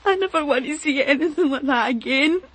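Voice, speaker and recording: A distraught cleaner in deep shock acted by a woman for a radio crime drama. Her voice is extremely high and tearful. She stumbles and repeats herself, close to hysteria. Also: close to an everyday voice. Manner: halting, repetitive. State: tearful, shaken, near hysterical. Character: shocked, distraught female cleaner who found a body.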